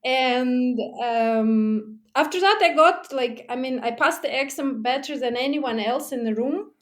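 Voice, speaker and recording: High-voiced woman telling diving stories. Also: a little slow. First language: not German. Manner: lively, laughs often, acts out her own past remarks; restarts and fillers like "like". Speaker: guest, technical diver and underwater photographer. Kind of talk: casual interview storytelling.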